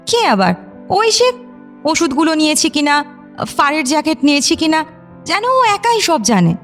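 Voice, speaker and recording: An actress playing a lively, teasing young woman in a Bengali audio drama, expressive and playfully whiny, mixing in English slang. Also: sits high, moderate pace, faint music behind. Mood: curious, insistent. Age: young adult.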